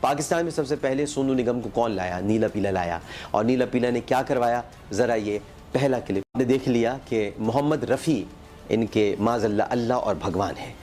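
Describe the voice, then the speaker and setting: A male talk show host with a Pakistani accent speaks in a polemical monologue. His pitch sits in the middle for a man, and he builds his case emphatically with pointed, accusatory rhetoric. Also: moderate pace, a little mellow, soft background music.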